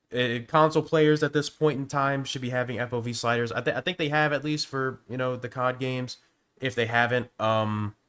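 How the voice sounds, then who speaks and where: Man, sore throat, hoarse, lowish voice, rambling game commentary. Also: a bit bright. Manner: rambling with frequent restarts and stammers. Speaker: gaming youtuber. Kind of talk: casual opinion commentary to camera.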